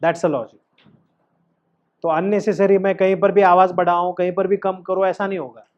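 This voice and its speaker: Male acting coach, fairly high voice, instructive and questioning, slightly dull recording. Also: flat delivery, normal speed, darkish tone. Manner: instructive, emphatic, frequently questioning the student. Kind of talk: teaching an acting class with demonstrated lines and questions.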